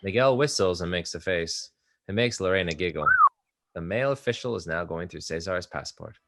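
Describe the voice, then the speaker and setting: A man narrating the stage directions of a play at a table read, in a steady, measured reading. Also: low-pitched, slight background noise.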